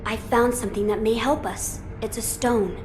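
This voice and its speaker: A woman's voice: on the high side, steady tone, moderate pace, lightly acted. A woman voicing an earnest, noble young boy lord in a game cutscene, formal and thoughtful in delivery. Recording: clear ambient noise behind dialogue.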